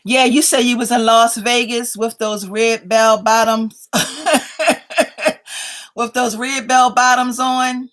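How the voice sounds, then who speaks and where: A woman, hosting a relaxed livestream chat. Her voice sits a little high and she takes her time, drawing out her words as she teases and praises her viewers. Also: slow. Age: 50s. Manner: playful, chatty, keeps addressing viewers as y'all. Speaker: livestream host. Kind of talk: casual live chat reading viewer comments.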